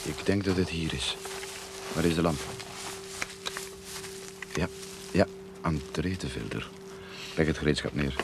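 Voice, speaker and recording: An actor playing a cocky, sardonic private detective in a radio drama, with a Flemish accent and a probing, theatrical delivery. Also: male voice, a bass voice, a little slow, creaky, pauses often, music in the background, exaggerated voice. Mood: hushed, exasperated. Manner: probing, theatrical, self-assured explaining. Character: cocky, sardonic male private detective who theorizes boldly.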